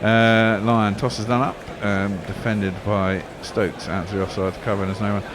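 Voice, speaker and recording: A man's voice: fairly low pitch, little pitch movement, normal speed. A man giving casual ball-by-ball radio commentary on cricket, rambling into anecdotes between deliveries. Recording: background noise.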